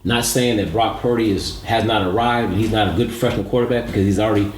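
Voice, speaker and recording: Low-voiced man breaking down sports, echoey room. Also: steady tone, quick pace. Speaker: sports show co-host and analyst. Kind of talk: sports commentary, analytical talk. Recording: roomy echo, audible background noise.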